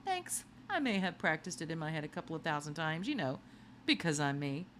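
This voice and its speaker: A woman voicing a quirky, bright girl from a children's novel, a loyal friend with a questioning, wry manner. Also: low voice, sing-song, a little fast, slight background noise. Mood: pleased, proud.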